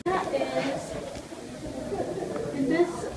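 Through heavy room noise and some echo, a woman in the audience puts a question to a speaker. Her voice is on the high side, and she talks unscripted. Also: extremely slow, over a noisy crowd. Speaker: audience member asking questions. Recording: distant, echoey, very noisy room.

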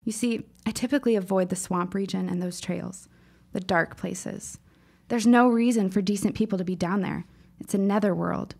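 Woman doing a precocious teen girl in an audio drama, earnest n dramatic, formal wording, bit of fry in the voice. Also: medium pitch, normal speed, darkish tone. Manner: formal, wordy, dramatic phrasing. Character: precocious, earnest fifteen-year-old girl writer, shaken but insistent.

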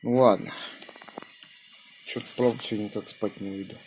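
A man with a slightly husky voice, chatting casually in slangy speech and giving call signs as an amateur radio operator, recorded indoors on a phone. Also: fairly low pitch, fairly even intonation, moderate pace, a little mellow, a little hiss or hum. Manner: colloquial, slangy. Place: small room indoors beside radio equipment. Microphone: phone held near the radio.

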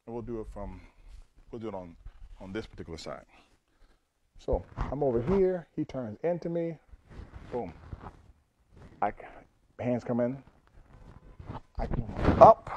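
Punchy judo coach calling out each move. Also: male voice, very animated, unhurried, long pauses, a little hiss or hum. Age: older. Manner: punchy, repeats "boom" between steps. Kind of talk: demonstrating techniques to students. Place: large judo gym with mats. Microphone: camera across the room.

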